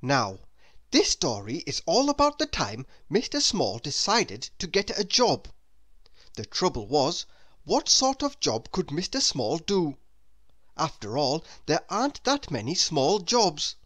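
A man reading a children's story aloud as narrator, in an expressive, deliberate way. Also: fairly high pitch. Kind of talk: scripted narration for young children.

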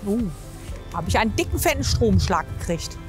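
A woman's voice: medium pitch, big pitch swings, moderate pace, music in the background. A woman working as a horse physiotherapist, chatting casually and encouragingly outdoors, with colloquial praise and coaxing. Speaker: horse physiotherapist assessing a rider and mare.

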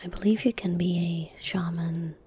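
A young woman speaking calmly and gently in a soft, breathy whisper, giving casual ASMR gameplay commentary close to the microphone. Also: fairly low pitch. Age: young adult. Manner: calm, gentle, unhurried. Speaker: ASMR gaming streamer. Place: small indoor room at a desk. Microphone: close desk microphone.

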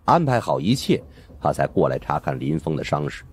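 A man's voice: extremely low pitch, big pitch swings, a little fast, breathy edge. A man narrating a supernatural suspense audiobook in a dramatic storytelling style.

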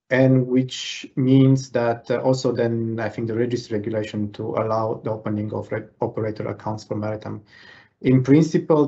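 A man's voice: medium pitch, creaky, mellow, slightly rough. A man answering a webinar question as a policy official, careful and technical, with hedges and restarts. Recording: dull lo-fi video call audio.

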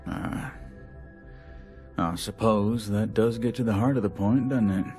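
A man's voice: fairly low pitch, unhurried, darkish tone, soft background music, natural voice. Man playing a formal, reluctant councilman. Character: reluctant, formal male government council member. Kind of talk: video game character dialogue.

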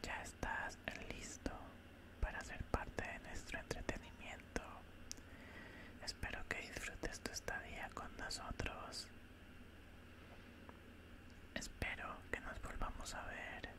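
A young man playing a calm, politely menacing alien doctor in a soothing whispered ASMR roleplay, close to the microphone. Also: close to an everyday voice. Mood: calm, clinical. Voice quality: soft, breathy unvoiced whisper. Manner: slow, soothing, matter-of-fact. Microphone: close studio microphone.

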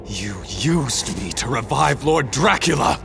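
Brooding, intense gothic hero. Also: male voice, medium pitch, expressive, unhurried, creaky, over music. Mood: grim, accusing. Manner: earnest, archaic, intense. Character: brooding, tormented young male gothic hero. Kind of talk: dramatic video game voice acting.

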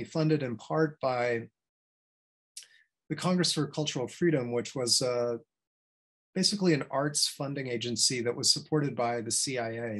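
A man in his fifties giving an informal academic talk, speaking in a reflective, halting way with many pauses. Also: medium pitch, fairly bright tone. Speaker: academic researcher, guest speaker. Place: small home study with bookshelves, video call. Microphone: computer mic on video call.

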